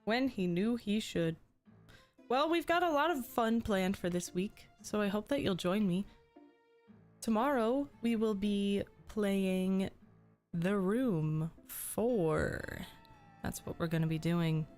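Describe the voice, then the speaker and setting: A woman's voice: mid-range voice, expressive, takes it slowly, a little mellow, soft background music. Chatty young woman streamer, slightly sick. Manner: chatty, reads and answers chat, frequent ums. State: slightly sick, losing her voice. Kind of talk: casual livestream chat.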